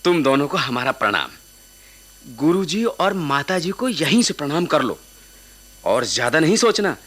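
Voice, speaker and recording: A well-meaning watchman in a radio play. His voice is rather high and sharp, swinging animatedly as he gives urgent, brotherly counsel. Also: big pitch swings, normal speed. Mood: kindly advising. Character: kindly, urgent male watchman advising young lovers. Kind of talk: Hindi radio play acting.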